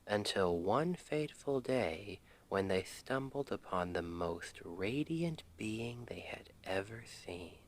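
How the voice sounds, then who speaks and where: A man playing a tender, playful boyfriend in an ASMR roleplay, speaking in a gentle, intimate half-whisper to soothe his partner to sleep. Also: lowish voice, full character voice. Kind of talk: ASMR roleplay, soft bedtime storytelling.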